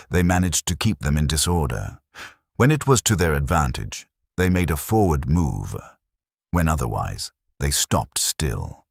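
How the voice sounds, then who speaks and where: A man narrating an audiobook of a classic treatise in measured, deliberate phrases. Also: a bass voice, dry recording, studio quality. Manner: measured, declarative delivery in short deliberate phrases.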